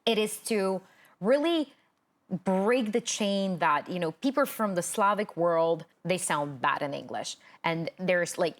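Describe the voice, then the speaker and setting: Woman from Ukraine, native Ukrainian, young adult, interview host, earnest, filler-heavy casual talk, lowish voice. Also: expressive, measured pace. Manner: earnest, talkative, many "like" fillers. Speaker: host, accent coach.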